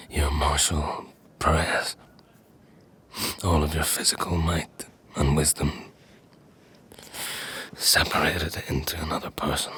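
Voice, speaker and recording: An actor playing a bitter, sardonic, wounded man in an audio drama, speaking in a hushed, breathy voice. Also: little pitch movement, exaggerated voice. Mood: weary, searching. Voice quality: breathy, whispery, very deep voice. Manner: slow, hushed, probing and sardonic.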